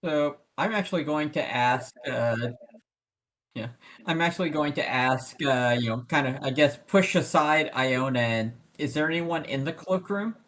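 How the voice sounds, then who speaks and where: A tabletop game player chatting casually and haltingly, describing and voicing a character's actions while thinking aloud. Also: medium pitch, fairly bright tone, a little hiss or hum. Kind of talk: casual in-character roleplay chat.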